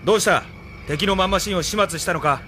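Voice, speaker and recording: A man's voice: high voice, fast, noisy background, natural voice. A man acting in a Japanese audio drama as an intense, vengeful military officer. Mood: urgent command. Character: intense, vengeful male military officer hunting his rival.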